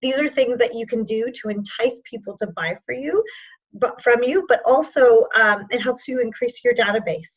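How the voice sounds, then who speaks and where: Hoarse woman coaching sales reps online. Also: fairly high pitch, normal speed, lo-fi sound. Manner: informal and encouraging, checks in with "okay?". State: losing her voice, hoarse. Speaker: sales team trainer. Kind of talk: online training session with screen sharing.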